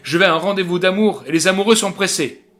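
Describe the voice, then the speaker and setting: A man acts out a proud young knight from a literary tale. He is impatient and defiant, with a raised pitch and formal phrasing over music. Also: fast, fairly bright tone. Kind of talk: literary audiobook dialogue.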